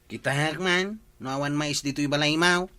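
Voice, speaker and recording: A man's voice: a little high, moderate pace, bright, forceful tone, clean tone. A man with a Filipino Ilocano accent playing an insistent, suspicious village official in a colloquial comedy drama. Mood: accusing. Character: male village official, suspicious, quick to accuse.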